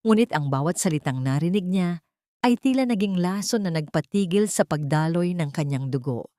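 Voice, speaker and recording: A woman's voice: lowish voice, animated, normal speed, mellow, dry recording, clean studio sound. A woman narrator reading a scripted dramatic story in a solemn, suspenseful manner.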